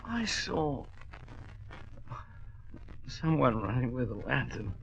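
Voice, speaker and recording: A dying young jockey, groaning and struggling along very slowly. His voice is weak and creaky on a muffled, noisy old radio recording. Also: medium pitch, big pitch swings, fairly bright tone, exaggerated voice. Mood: agonized, faltering. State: gravely injured, groaning, labored. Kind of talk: 1940s radio drama acting.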